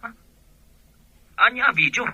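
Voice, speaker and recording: A man playing an excitable, exasperated male buddy in an Ilocano radio drama. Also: normal speed, dry recording, lo-fi sound.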